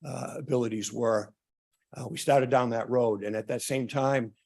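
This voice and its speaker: Hoarse man with many ums. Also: medium pitch, steady tone, darkish tone. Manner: halting, many ums and uhs, restarts. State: losing his voice, clearing throat. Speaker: town official presenting at a public forum. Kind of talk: prepared remarks at a public meeting.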